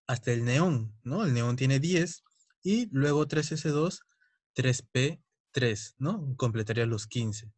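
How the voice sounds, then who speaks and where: A man's voice: mid-range voice, a little mellow, no room sound. A young man teaching a chemistry lesson, explaining step by step and often checking in with his students. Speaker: chemistry tutor. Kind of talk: recorded online lesson.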